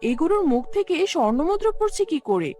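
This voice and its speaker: A woman's voice: extremely high pitch, big pitch swings, brisk, dark tone, soft background music. A woman voicing a scolding, easily astonished farmer's wife in a cartoon fairy tale, full of exclaimed questions.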